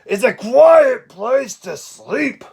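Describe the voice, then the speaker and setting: A cranky storybook bear, roaring its complaints at a raised pitch. It is very loud and forceful, demanding some peace and quiet. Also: lively intonation, extremely slow, gravelly, husky, exaggerated voice.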